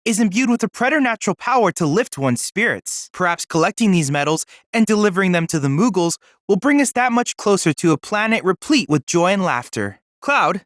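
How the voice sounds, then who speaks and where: A man's voice: high voice, sing-song, a little fast, hardly any pauses, fairly bright tone, no echo, clean studio sound. A young man playing an earnest, scholarly android boy research assistant in a video game, speaking with formal, precise, courteous diction. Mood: encouraging, formal. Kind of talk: scripted video game dialogue.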